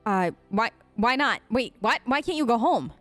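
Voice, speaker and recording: A woman's voice: fairly high pitch, expressive, normal speed, a bit bright, dry recording, faint music behind. A woman hosting a role-play let's play, chatting casually in character in an earnest, playful way. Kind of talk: casual in-character game commentary.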